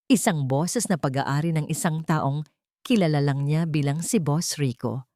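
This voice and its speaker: A woman narrates a dramatic piece of fiction in a somewhat low voice. Her delivery is deliberate and suspenseful, like a storyteller reading from a script. The sound is dry, with very little room around it. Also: warm tone, no echo.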